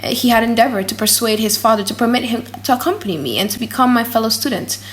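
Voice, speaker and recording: A woman reading a gothic novel as an audiobook in a dramatic, theatrical and highly expressive manner. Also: fast talker. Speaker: audiobook narrator.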